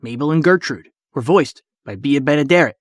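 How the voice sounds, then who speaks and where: A man's voice: a little high, animated, a little fast, no room sound, studio quality. A man narrating a scripted audio documentary as a podcast narrator.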